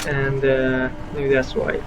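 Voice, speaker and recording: Halting man, distant mic. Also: mid-range voice, monotone, highs cut off, background noise. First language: Farsi. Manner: halting, searching for words. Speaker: gaming video host. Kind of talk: casual let's-play commentary.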